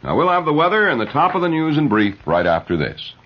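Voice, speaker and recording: A man reading news and ads as a radio newscaster in a measured 1970s announcer style. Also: fairly high pitch, sing-song, over music. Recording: dull, lo-fi 1970s radio broadcast recording.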